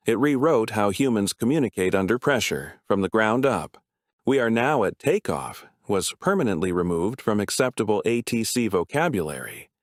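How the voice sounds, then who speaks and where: A man's voice: on the low side, no echo. Man narrating a documentary, dry close sound. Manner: measured, serious, short dramatic phrases.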